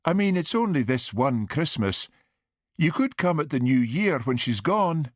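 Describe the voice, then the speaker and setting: A busy Highland Scottish mother, as a male narrator puts her on, pitched well up with a swooping lilt. She is plaintive and coaxing, yet brisk, in an audiobook style. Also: animated, no room sound, clean studio sound. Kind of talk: audiobook character dialogue.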